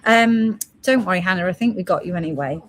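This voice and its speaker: A woman's voice: on the low side, fairly quick. A woman hosting a live stream selling gemstone beads, chatting casually and reading out product codes and prices. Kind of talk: casual live sales chat.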